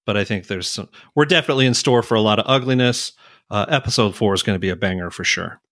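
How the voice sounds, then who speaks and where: A man's voice: on the low side, fast, a bit bright, no room sound, studio quality. A man co-hosting a podcast, chatting casually about a television series. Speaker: podcast co-host.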